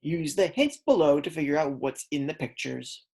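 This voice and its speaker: A woman's voice: low voice, very animated, normal speed, vocal fry, warm tone. A woman kindergarten teacher reading aloud a lesson for young children, explaining words simply and prompting her pupils.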